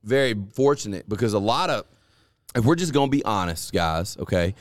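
A man's voice: on the low side, lively intonation, brisk, dry recording, clean studio sound. A man hosting a casual podcast chat, talking candidly and at length, often restarting and repeating words as he explains. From Deep South US. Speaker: podcast host.

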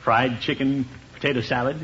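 An actor playing a blustery, sociable middle-aged water commissioner in a 1950s radio comedy. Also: male voice, medium pitch, fairly even intonation, moderate pace, a bit bright, natural voice. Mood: flustered, coaxing. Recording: noisy, muffled old radio recording.

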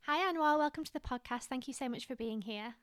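A woman's voice: sits high, quick pace, a bit bright, smooth, dry recording. A woman hosting a podcast, giving an upbeat, welcoming introduction. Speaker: podcast host. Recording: voice over intro music. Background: music.

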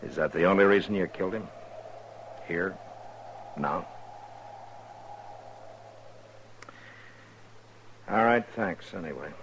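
An American actor playing a stern, plainspoken US Marshal in a 1950s radio western, speaking in a terse, grave, deliberate way. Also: male voice, low voice, a bit bright, slight background noise. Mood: terse, steady. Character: stern, steady frontier US Marshal, weary and plainspoken. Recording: muffled old radio recording, music behind.

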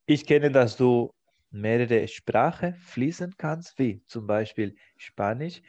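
Slow, halting male podcast host. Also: mid-range voice, darkish tone, muffled recording. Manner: slow and halting, searching for words. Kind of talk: language-learning podcast with mock exam talk.

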